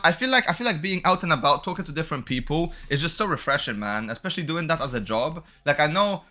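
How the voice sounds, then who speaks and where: A man's voice: mid-range voice, sharp tone. A young man giving casual, slangy gameplay commentary, musing aloud as he goes along. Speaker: game streamer.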